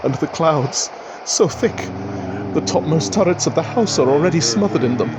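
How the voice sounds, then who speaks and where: An actor playing a terrified, guilt-ridden gentleman in a radio drama, speaking in feverish, theatrical, archaic phrases. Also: on the high side, expressive, moderate pace, background noise, lightly acted. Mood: awed dread. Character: terrified, guilt-ridden, nervously sick gentleman haunted by his sister. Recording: dramatic music behind the voice.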